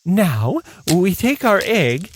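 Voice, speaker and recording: Man acting prim male gourmet judge, deliberate pace, swooping intonation, fussy, comedy radio play. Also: sits high, big pitch swings, exaggerated voice. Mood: instructive.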